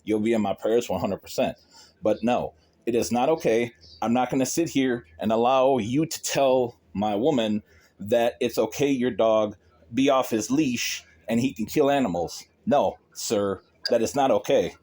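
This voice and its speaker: A man's voice: medium pitch, moderate pace, mellow. A man arguing on a phone call as a pet owner confronting a neighbour, in a stern, insistent, lecturing manner. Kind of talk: argumentative phone conversation.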